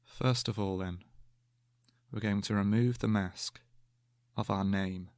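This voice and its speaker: A man leads a guided meditation in a low, even voice with a noticeable creak at the edges. He speaks gently and calmly, placing each instruction carefully and letting pauses open up between phrases. The sound is dry and close, with very little room around it. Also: dry recording. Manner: calm, gentle, measured with long pauses.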